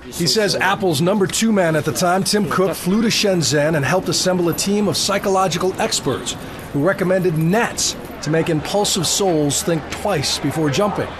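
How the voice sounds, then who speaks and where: A man's voice: high voice, normal speed, noisy background. A man reading scripted news narration as a television reporter.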